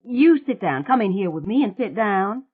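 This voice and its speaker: A brisk, practical young woman takes charge and rattles off her plans, as a woman reader plays her. She talks quickly and bossily, pitched above the reader's usual voice. The sound is tinny and narrow like a phone line. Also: very animated, slightly rough. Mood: bossy.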